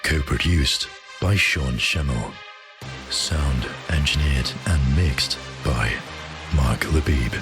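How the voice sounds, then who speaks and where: A man reading scripted credits as an announcer for an audio drama, in a measured, dramatic way. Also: a bass voice, over music.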